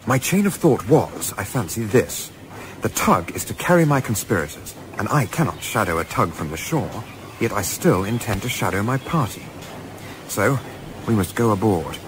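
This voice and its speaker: A man playing a composed, astute Edwardian gentleman turned amateur spy, speaking formally in a radio play as narrator and lead. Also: fairly low pitch, very animated, normal speed, noisy background. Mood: measured, determined. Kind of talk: radio play acting with first-person literary narration.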